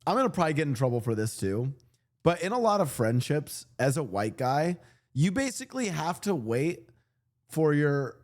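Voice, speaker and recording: A man talking to his live chat in a loose, rambling way, stopping and restarting as he jokes. His voice sits a little high and swings widely in pitch. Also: very animated, normal speed, darkish tone. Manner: rambling, laughing, joking asides. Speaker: streamer. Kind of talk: casual livestream commentary.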